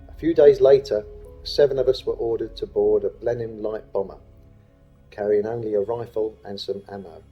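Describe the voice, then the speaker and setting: A man narrates a scripted memoir in the first person. His voice is somewhat low. The recording is a bit dull and band-limited, with faint music behind it. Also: steady tone, normal speed.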